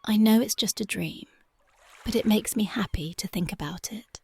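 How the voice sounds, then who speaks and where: A woman voicing a tough but devoted young punk girlfriend in an ASMR roleplay, talking softly and intimately close to the mic, often dropping to a whisper. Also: mid-range voice, normal speed, creaky, breathy edge. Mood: tender, dreamy. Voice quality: soft, intimate, partly whispered. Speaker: voice actress.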